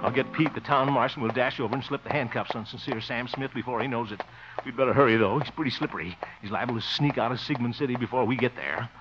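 A man's voice: mid-range voice, quick pace, over music, close to an everyday voice. An actor playing a flustered, bumbling small-town man in a 1950s radio sitcom, with theatrical exclamations and exasperated muttering. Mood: flustered urgency. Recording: muffled vintage 1950 radio broadcast.

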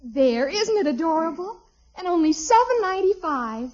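A woman's voice: very high voice, big pitch swings, moderate pace, darkish tone. An American woman playing a sweet, gushing young wife and mother with a girlish, cooing manner in a 1950s radio sitcom. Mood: delighted, cooing. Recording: muffled old radio recording.

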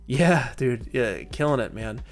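A man's voice: mid-range voice, lively intonation, a little slow, faint music behind. A young man hosting a live online interview, casual and enthusiastic, with laid-back slang. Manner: upbeat, gushing praise, laid-back slang. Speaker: livestream host.

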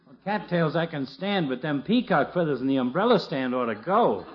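A sardonic, folksy small-town barber in an old radio comedy. His voice is notably high for a man. The vintage recording sounds dull. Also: lively intonation, normal speed, husky. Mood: casual, game. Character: wisecracking, gossipy small-town male barber. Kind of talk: 1950s radio sitcom acting. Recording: muffled 1950s radio recording.

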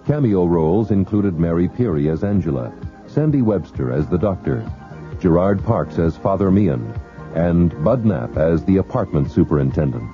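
A man announcing the closing credits of a radio drama in a measured, formal way. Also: low-pitched, lively intonation. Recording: dull lo-fi radio recording with music.

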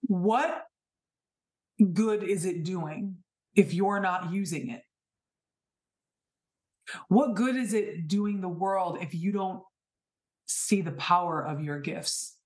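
Earnest low-voiced woman in her thirties. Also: slow pace, long pauses, a little mellow. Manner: earnest, emphatic, with repeated rhetorical questions. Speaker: podcast host. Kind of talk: inspirational spiritual monologue.

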